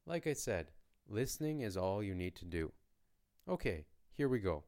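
A man from Canada hosting a beginner language podcast, speaking in a slow, lightly joking teaching voice. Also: on the low side, sing-song, a little mellow. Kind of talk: slow, clear lesson narration for learners.

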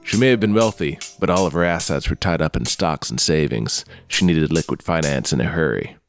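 A man playing a suave, sly underworld club owner in a comic noir radio play, speaking in a smooth, teasing, refined manner. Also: rumbling low, a little fast, faint music behind. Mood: coy.

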